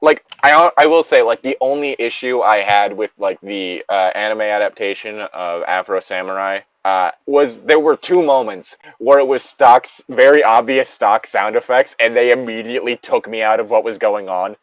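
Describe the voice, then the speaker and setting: A man's voice: fairly low pitch, steady tone, moderate pace, few pauses, dry recording, dull audio. A man co-hosting a casual group reading, chatting and explaining things with plenty of swearing. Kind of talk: casual banter and reading aloud.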